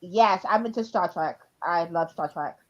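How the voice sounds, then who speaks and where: A woman in her forties chatting casually on a live stream, rambling and filling pauses with ums. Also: on the low side, muffled recording. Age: 40s. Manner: rambling, many ums while listing. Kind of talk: casual chat.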